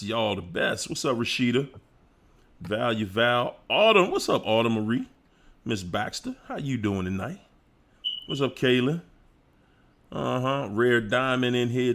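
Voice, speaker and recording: A man hosting a relaxed livestream. He talks slowly and lets his lines hang. He hypes up the chat in a playful way, with bursts of whoops and sung bits. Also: mid-range voice. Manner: playful, hypes up chat, sings snippets and laughs. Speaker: livestream host. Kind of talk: casual livestream chat greeting viewers.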